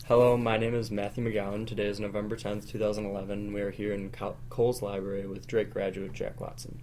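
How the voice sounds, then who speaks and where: Low, flat-toned young Midwestern man. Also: quick pace, a little hiss or hum. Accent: American Midwestern. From Wisconsin, United States. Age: young adult. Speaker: student interviewer. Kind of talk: oral history interview.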